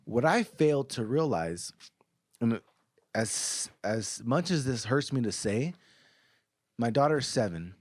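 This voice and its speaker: A man's voice: mid-range voice, big pitch swings, slow pace, pauses often, no room sound, clean studio sound. A man in his thirties, co-hosting a podcast, talking bluntly and earnestly with frequent swearing. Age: 30s. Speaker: podcast co-host. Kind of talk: casual podcast chat.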